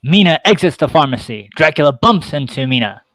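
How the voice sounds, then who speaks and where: A man's voice: fairly high pitch, animated, fairly quick, a bit bright, dry recording. A man narrating a horror play, reading stage directions in a terse, theatrical way.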